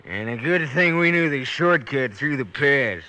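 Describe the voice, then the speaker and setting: An actor playing a cold, gloating American outlaw in an old Western radio drama. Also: male voice, mid-range voice, expressive, a little slow, bright, forceful tone. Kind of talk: 1950s Western radio acting. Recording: noisy old radio recording.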